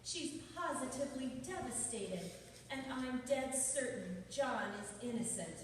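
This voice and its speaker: A concerned, kindhearted heroine of old radio drama, acted by a woman. Her high, emphatic voice carries from a distance in a very echoey hall with faint hiss. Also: lively intonation, a little slow. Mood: worried. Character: concerned, kindhearted lady companion of a detective. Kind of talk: old-time radio drama stage acting. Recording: distant, echoey hall, hissy live recording.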